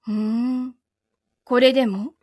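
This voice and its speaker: A woman's voice: a little high, fairly even intonation, slow, a little mellow, slightly rough, no echo, studio quality. A woman playing a betrayed, possessive young lover in a live audio drama, with a Kansai Japanese accent and a controlled, coldly teasing manner. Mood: cold, probing.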